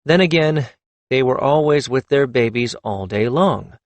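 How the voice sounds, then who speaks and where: A man reading a fantasy novel aloud at a steady, measured pace, with a mid-range voice. He sits close to the microphone in a dry, deadened booth with no echo at all. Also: medium pitch. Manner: measured, even storytelling. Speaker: audiobook narrator. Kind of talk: scripted fantasy audiobook narration.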